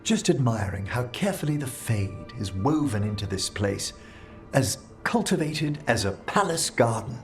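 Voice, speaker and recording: A genteel scholar of the dead in a fantasy video game. His pitch moves widely as he speaks, curious and courteous, with eloquent phrasing. Faint music sits behind him. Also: big pitch swings, normal speed. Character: courtly, curious scholarly male necromancer companion.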